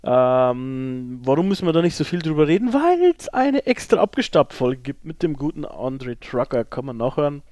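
A man with a slightly high voice co-hosts a podcast in a very dry recording. He chats informally, in short bursts, dropping in joking asides. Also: sing-song, normal speed, hardly any pauses, a little mellow, no echo. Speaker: podcast co-host. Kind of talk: casual podcast chat.

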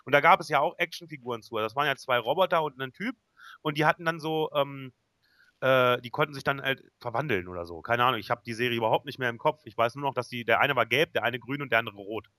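A man's voice: medium pitch, fast, sharp tone, no echo, studio quality. A man hosting a casual podcast chat, talking conversationally and often checking for agreement. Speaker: podcast host.

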